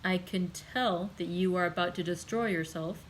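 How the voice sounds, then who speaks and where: A woman voicing a calm, commanding nobleman who speaks slowly, quietly and with measured firmness in an audiobook. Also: on the low side, slight background noise, lightly acted. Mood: firm. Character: calm, commanding older nobleman, gently stern. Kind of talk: audiobook character reading.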